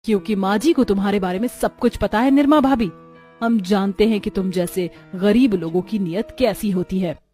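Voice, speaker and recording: A woman's voice: mid-range voice, normal speed, mellow, soft background music, natural voice. A woman voicing a spoiled, scheming young daughter-in-law in a cartoon, sneering and whiny. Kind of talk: Hindi cartoon voice acting.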